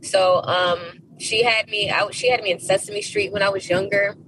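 An American woman from Miami speaking as a podcast guest in a casual interview, telling stories in an animated, emphatic, slangy way. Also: medium pitch, normal speed, noisy background. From Miami, United States.

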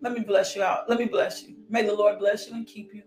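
A woman preacher delivering a fervent sermon, repeating declarations, breaking into high whoops and dropping to hushed prayer. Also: little pitch movement, fairly quick, soft background music. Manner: exclamatory, with sudden high whoops. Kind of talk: fervent sermon with altar call and blessing.

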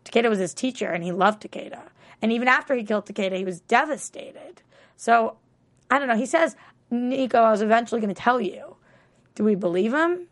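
A woman's voice: on the high side, expressive, a little fast. A woman co-hosting a casual TV recap chat, chatty and full of questions, laughing often. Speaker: co-host.

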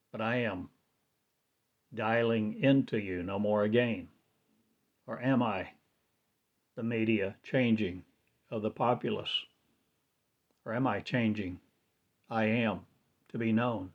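Slow, low-voiced man chanting a monologue. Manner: chanting, trance-like, grandiose, repetitive phrasing. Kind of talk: slow incantatory spoken-word monologue. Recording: dull lo-fi recording.

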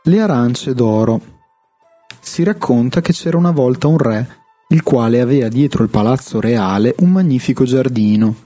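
A man reading a fairy tale aloud in a steady storytelling manner. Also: medium pitch, moderate pace, soft background music.

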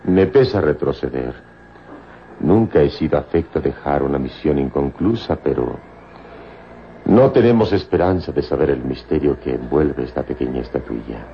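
An extremely deep male voice plays a noble adventure hero of a radio serial. He is calm and commanding, with formal, poetic delivery. The old recording is muffled and noisy. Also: vocal fry. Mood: grave, resolute. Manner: formal, measured, poetic phrasing.

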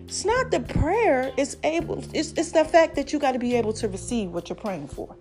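Animated raspy-voiced woman, high and lively. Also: normal speed, soft background music. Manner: animated, calls herself Mama, addresses viewers as y'all. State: slightly raspy voice. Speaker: online commentator. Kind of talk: casual preachy chat to followers.

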